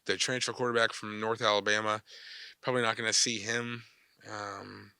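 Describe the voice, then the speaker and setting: A young man hosting a casual sports podcast, chatty and energetic with loose slang, his voice a little stuffy from a cold. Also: lowish voice, normal speed, gravelly, clean tone, no room sound, clean studio sound. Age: young adult. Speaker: podcast host.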